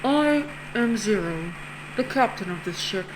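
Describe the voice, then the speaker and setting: A woman voicing a cold, eerie male-sounding captain who speaks over a ship's speaker with a harsh put-on foreign accent, slow and formal. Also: mid-range voice, lively intonation, close to an everyday voice. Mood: ominous. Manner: slow, formal, ominous announcements. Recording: noisy recording.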